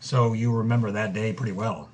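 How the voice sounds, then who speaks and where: An actor playing an earnest, inquisitive interviewer who lays out facts precisely and methodically in a dramatised interview. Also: male voice, fairly low pitch, steady tone, brisk, slight background noise, lightly acted. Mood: earnest, serious. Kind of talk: dramatised interview, measured exposition.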